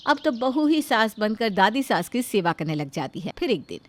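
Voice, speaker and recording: A woman narrating a scripted moral story in an expressive storytelling style. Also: fairly high pitch, moderate pace.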